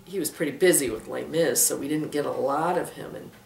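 A woman's voice: deep voice, fairly quick, gravelly, a little mellow. A woman in her fifties, a theater director, chatting casually in an interview. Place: indoors in a small book-lined room. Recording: roomy, distant mic, faint noise.

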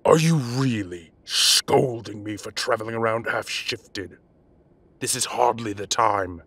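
A man playing a commanding, impatient but protective half-dragon mafia boss in an audio roleplay, with a rough voice and a bossy, scolding manner. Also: lowish voice, a little slow, fairly bright tone, no echo, heavily acted. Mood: irritated, scolding.

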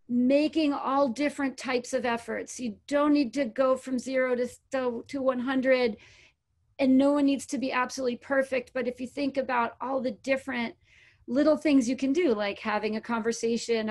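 Higher-voiced woman in her forties, explaining. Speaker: panelist. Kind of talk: informal explanatory panel talk. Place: indoors on a video call.

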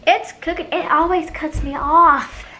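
High, bright young American teen girl vlogger. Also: steady tone, measured pace, slight background noise. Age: young teenager. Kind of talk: casual cooking vlog. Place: home kitchen, indoors. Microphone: camera at arm's length.

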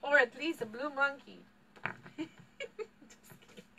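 A woman in her fifties with a Polish accent runs a casual tea tasting class. Her voice is bright and fairly high, picked up by a camera across a shop room with a faint hiss behind her. She chats freely and laughs between explanations. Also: measured pace, poor audio. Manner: chatty, laughs often, gestures with props. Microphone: far camera.

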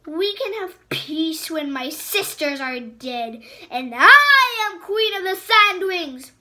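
A woman's voice: sits high, takes it slowly, fairly bright tone. A woman voicing a scheming, venomous female sand dragon queen who hisses and mocks, reading a storybook aloud. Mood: taunting. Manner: menacing, mocking, hissing taunts. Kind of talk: storybook read-aloud voice acting.

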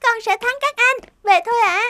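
Very high-pitched, earnest little girl, acted out. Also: brisk, a little hiss or hum. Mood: determined. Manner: earnest, childlike, expressive. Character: earnest, determined young girl dreaming of acrobatics. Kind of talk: cartoon dubbing. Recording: music behind the voice.

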